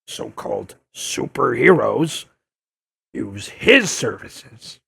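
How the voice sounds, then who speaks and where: An actor playing a gruff, blustering older newspaperman in an audio drama, insistent and quick to insult. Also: medium pitch, sing-song, a bit bright, no echo, clean studio sound, heavily acted. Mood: serious, explaining. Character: gruff, combative older male newspaperman turned blogger. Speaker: investigative reporter interviewing a superhero. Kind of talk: audio drama dub acting.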